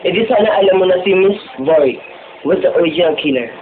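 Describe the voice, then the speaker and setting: A man's voice: high-pitched, lively intonation, fast. Teen guy playing a taunting Filipino phone stalker, swooping voice. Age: teenager. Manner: taunting, playful, code-switching between Tagalog and English. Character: menacing male phone-stalker killer, teasing and taunting. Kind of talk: amateur horror drama acting, phone call scene. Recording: tinny, noisy phone-like lo-fi audio.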